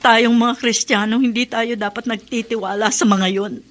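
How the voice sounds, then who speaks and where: A woman's voice: fairly high pitch, steady tone, moderate pace, a bit bright, natural voice. A woman playing a regretful, embittered middle-aged mother in a Filipino radio drama. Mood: bitter.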